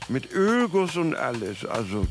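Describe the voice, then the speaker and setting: An actor playing an earnest, gushing wellness devotee in a comedy mockumentary, searching for words in a drawn-out way. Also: male voice, mid-range voice, sing-song, music in the background. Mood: earnest, blissful.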